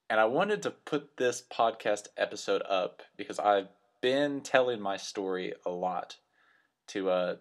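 A man in his thirties with a West Texas accent, a minister talking earnestly and reflectively in a solo podcast. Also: low voice. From West Texas Panhandle, US. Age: 30s. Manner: earnest, reflective, slightly self-conscious. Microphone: computer microphone.

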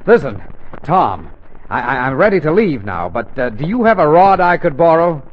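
A man's voice: a little high, very animated, moderate pace. An actor playing a flustered, evasive middle-aged father in a 1940s radio comedy, stammering and blustering, on a muffled, hissy old broadcast. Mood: hurried. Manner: stammering, blustering, repeats himself.

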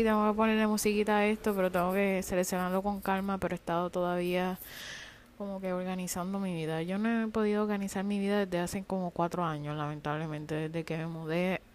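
A woman's voice: on the low side, a little mellow. Rambling Puerto Rican woman podcaster. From Puerto Rico. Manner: rambling, many fillers like "este" and "eh", mild cursing. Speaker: podcast host. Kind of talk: casual solo podcast monologue.

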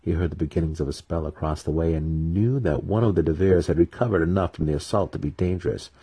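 A man giving a dramatic scripted narration of a fantasy audiobook in a husky voice. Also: sits low, quick pace, warm tone.

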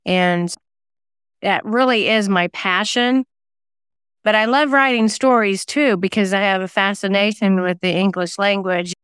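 A woman's voice: medium pitch, a little slow, a bit bright, smooth, no echo, studio quality. A woman talking reflectively as an interview guest, thoughtfully telling personal anecdotes about creative work. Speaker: singer-songwriter and novelist guest; former radio DJ.

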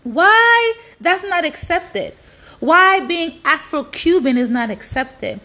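A woman's voice: very high-pitched, big pitch swings, slow, bright, forceful tone. A woman delivering an opinionated, exasperated monologue in colloquial speech, with rhetorical questions and frequent swearing.

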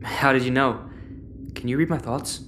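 A woman's voice: extremely low pitch, moderate pace, a bit bright, slight background noise. An actress playing a hesitant, confused teenage boy full of questions in an audio sci-fi drama. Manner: hesitant, unsure. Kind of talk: audio-fiction drama dialogue.

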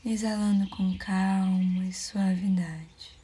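Breathy, slow Brazilian woman guiding meditation. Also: mid-range voice. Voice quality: soft, breathy, near-whisper. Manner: calm, soothing, measured with pauses. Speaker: meditation guide. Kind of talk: guided meditation.

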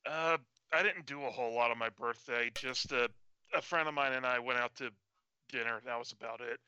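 A man's voice: medium pitch, a little fast, bright, forceful tone, clear, resonant tone, no room sound, lo-fi sound. A man of forty-one chatting casually as a co-host, in a hesitant, self-deprecating way. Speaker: show co-host. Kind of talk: casual chat.

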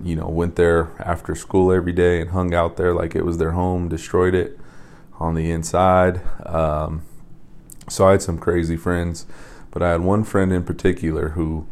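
A young man from Las Vegas hosting a podcast, telling stories in a loose, rambling way with repeated words and soft laughs. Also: sits low. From Las Vegas, USA. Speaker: podcast host and coach. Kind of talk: casual storytelling monologue.

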